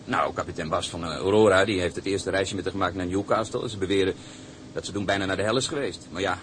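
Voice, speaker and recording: An actor playing an eager, deferential young ship's engineer in a Dutch radio drama, hesitant and apologetic. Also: male voice, sits low, quick pace, a bit bright, slight background noise. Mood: nervous, gossipy.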